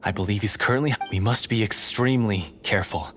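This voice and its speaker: A man's voice: fairly low pitch, brisk, fairly bright tone, slight background noise, lightly acted. A man playing a grave, earnest villager ally in a fantasy video game, speaking formally.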